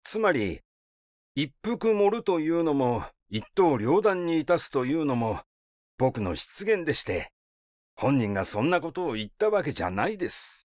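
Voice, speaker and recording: A man's voice: a little high, big pitch swings, moderate pace, a little mellow, no room sound, studio quality. A man voicing a flustered, easily irritated male doctor in a story reading, hesitant, rambling and grumbling. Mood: flustered, defensive.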